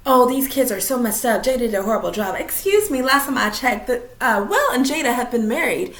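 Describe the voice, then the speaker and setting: A woman's voice: a little high, lively intonation, a little fast. A woman from Minnesota giving a blunt, opinionated vlog rant, swearing casually. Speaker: vlogger. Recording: roomy echo.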